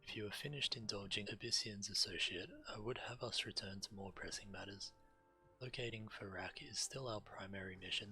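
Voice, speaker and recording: A stern male dragon commander from a video game. His voice sits low and he speaks formally, in measured, businesslike phrases with an edge of impatience. Clear music plays behind him. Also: steady tone, bright, forceful tone, low-quality recording. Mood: stern, impatient. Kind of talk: video game quest dialogue.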